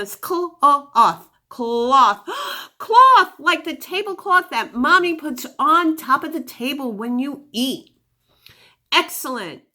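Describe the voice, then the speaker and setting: Very slow, bright woman teaching phonics. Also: high-pitched. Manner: encouraging, with praise and questions to pupils; sounds out letters and words with exaggerated articulation. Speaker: teacher of young children. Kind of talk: phonics lesson addressed to children.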